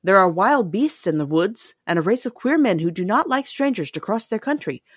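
A woman's voice: mid-range voice, lively intonation, normal speed, slightly rough, no room sound, clean studio sound. A woman voicing a timid, helpful male palace soldier in a storybook, cautious and informative. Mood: cautioning. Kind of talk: storybook character reading.

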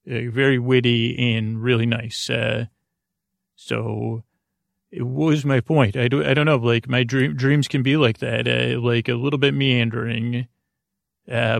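A man's voice: mid-range voice, creaky, no echo, studio quality. A man hosting a podcast in a rambling, casual monologue, hesitant, often trailing off and doubling back. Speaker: podcast host.

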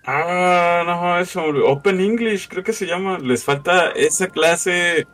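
A man's voice: high-pitched, slow pace, a bit bright. A man, chatting casually and laid-back on a podcast with slang. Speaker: podcast co-host. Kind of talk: casual chat.

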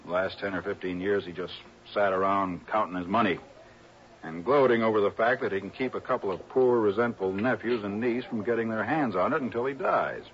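Male actor as disapproving American insurance man, lowish voice, emphatic confiding gossip, dull old-time radio sound. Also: brisk, a little hiss or hum, lightly acted. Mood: indignant. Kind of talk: 1960s radio drama acting. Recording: muffled vintage radio recording.

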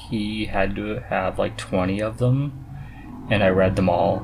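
A man hosting a podcast, chatting casually and haltingly as he thinks aloud. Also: lowish voice, fairly even intonation, creaky, background noise. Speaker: podcast host. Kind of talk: casual conversation about reading.

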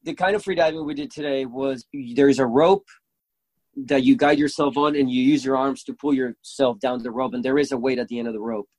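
Excitable Venezuelan-accented man, dry sound. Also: medium pitch, fairly quick, dry recording. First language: Spanish. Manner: excitable storyteller, swears freely. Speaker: guest. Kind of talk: casual chat.

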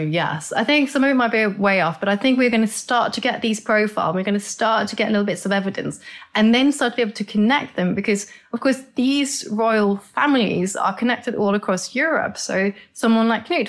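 A woman's voice: mid-range voice, brisk. Enthusiastic woman from Norway explaining. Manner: enthusiastic, explanatory, repeats words. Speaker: expert guest. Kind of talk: conversational interview.

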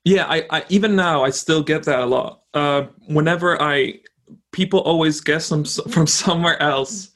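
A man's voice: a little high, normal speed, fairly bright tone. A young man from Poland, a guest in a casual conversation, who talks hesitantly with many restarts.

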